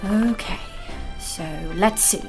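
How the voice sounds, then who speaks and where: A woman's voice: medium pitch, takes it slowly, music in the background, close to an everyday voice. A woman playing a practical, wary elf mage in a fantasy audio drama, with a dry, mildly impatient manner. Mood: defensive.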